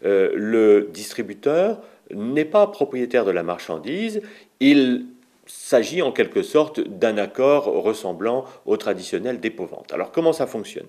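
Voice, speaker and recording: A man's voice: lowish voice, big pitch swings, unhurried, a little mellow. A man explaining a business term, asking himself rhetorical questions and using some fillers. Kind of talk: explanatory segment.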